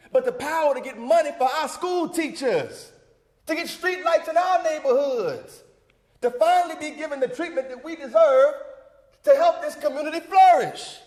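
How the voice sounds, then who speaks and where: Stage monologue: male actor playing an older male civic leader, very high-pitched voice, preacherly and emphatic, big reverberant hall sound. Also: darkish tone. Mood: rousing, fervent. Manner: preacherly, emphatic, folksy, rousing cadence. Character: John Wesley Dobbs, fervent older civic leader rallying a church crowd. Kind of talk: theatrical monologue, oratorical speech. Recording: echoey hall with long reverb.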